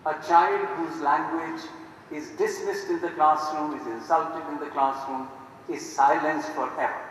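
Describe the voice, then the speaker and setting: A man's voice: a little high, steady tone, measured pace, a bit bright, slight background noise. Emphatic man echoing in a distant hall. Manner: emphatic, declamatory. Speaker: conference speaker. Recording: echoey hall from far off.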